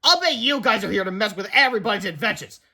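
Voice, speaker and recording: A man's voice: high-pitched, expressive, fast talker, gravelly, pushed and bright, voice pushed up, heavily acted. A man voicing an eager, boastful boy inventor who lectures grandly about his gadget in a children's storybook. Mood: indignant.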